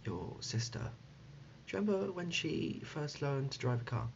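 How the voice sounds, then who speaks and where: A man playing an absurd, deadpan dad in an ASMR comedy roleplay, speaking haltingly and gently, often dropping to a whisper. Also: fairly high pitch, creaky, voice pushed up. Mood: fond deadpan. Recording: dull lo-fi audio, loud background noise.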